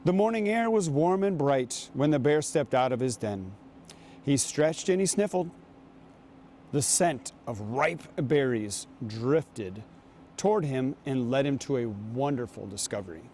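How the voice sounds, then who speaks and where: A man reading aloud and talking to children as a guest reader, with an unhurried, storytelling manner. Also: medium pitch, dark tone, a little hiss or hum. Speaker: guest reader, basketball coach.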